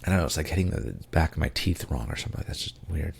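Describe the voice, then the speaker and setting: A man's voice: rumbling low, lively intonation, very fast, vocal fry, breathy edge. A man hosting a casual tech news podcast, congested and tired, with plenty of ums and uhs and loose rambling asides. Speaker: podcast co-host.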